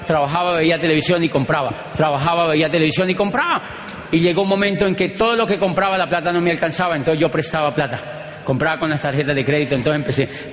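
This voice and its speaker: A middle-aged Colombian man with a Colombian accent, giving an animated storytelling talk to an audience, using rhythmic repetition. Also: fairly high pitch, a little hiss or hum. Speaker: motivational speaker. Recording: echoey hall with long reverb.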